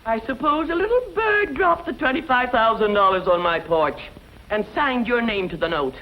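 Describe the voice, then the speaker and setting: A woman's voice: fairly high pitch, expressive, normal speed, lightly acted. A woman playing an indignant, sarcastic bank owner in an old-time radio western. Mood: accusing. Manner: haughty, scolding, sarcastic. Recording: muffled old radio recording, faint noise.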